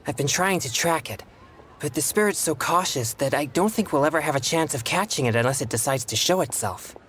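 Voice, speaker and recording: An actor playing a polite, earnest young male exorcist in a video game, speaking in formal, courteous, measured phrases. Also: a little high, animated, fairly bright tone, a little hiss or hum. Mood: earnest, pondering. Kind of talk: scripted video game dialogue.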